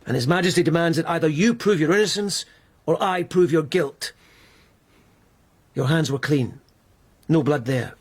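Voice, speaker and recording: An actor playing a male court investigator in a radio drama, probing and mannerly but goading, with measured questioning. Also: on the high side, breathy edge. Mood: accusing, goading. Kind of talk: BBC radio drama acting.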